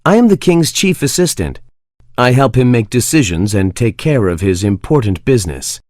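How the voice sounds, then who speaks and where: A man plays a courtly chief assistant to a storybook king. He speaks formally and earnestly at a middle pitch, in a measured manner. The recording is close and dry. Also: lively intonation, darkish tone, dry recording, natural voice. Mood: courteous. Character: king's chief assistant, tiny formal male royal courtier. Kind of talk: children's animated storybook acting.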